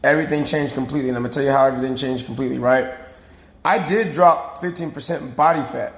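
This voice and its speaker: Raspy, hoarse fitness coach. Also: male voice, medium pitch, fast talker. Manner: earnest, checks in with "right?" and "okay?". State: hoarse after boot camp. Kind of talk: casual talk to camera. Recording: roomy recording.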